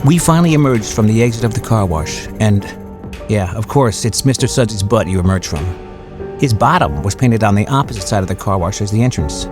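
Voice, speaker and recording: A man's voice: on the low side, animated, a little fast, close to an everyday voice. A man playing a middle-aged suburban father who tells a small-town horror story in a folksy, confiding, conversational way. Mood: wry. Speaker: narrator of an audio horror story. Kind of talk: conversational first-person scripted storytelling. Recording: music bed under the voice. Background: background music.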